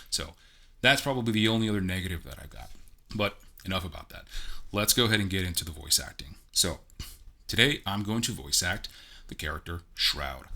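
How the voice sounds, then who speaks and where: Deep-voiced, chatty male game reviewer. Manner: chatty, self-deprecating, relaxed. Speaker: game reviewer and amateur voice actor. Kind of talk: casual video review.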